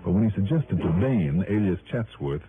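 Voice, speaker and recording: Wry low-voiced radio detective. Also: male voice, very animated, normal speed. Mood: wry, satisfied. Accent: American. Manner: wry, conversational, punchy storytelling. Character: wry, self-assured male insurance investigator narrating his case. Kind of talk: 1960s radio detective narration. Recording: tinny old radio broadcast with music.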